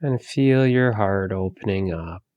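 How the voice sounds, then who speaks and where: Slow, low-voiced man guiding meditation. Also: dry recording, highs cut off. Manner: calm, soothing, gentle instruction with long pauses. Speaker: meditation guide. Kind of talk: guided meditation.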